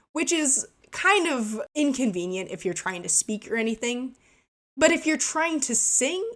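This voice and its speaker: A woman vlogger telling an embarrassing story in a casual, self-deprecating way while narrating gameplay. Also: fairly high pitch. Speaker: gaming vlogger.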